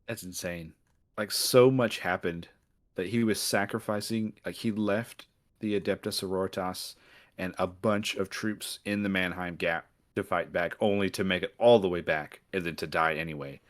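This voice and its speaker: A man's voice: sits low, steady tone, normal speed. A man co-hosting a podcast, talking casually and reacting to a story. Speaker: podcast co-host. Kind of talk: casual chat.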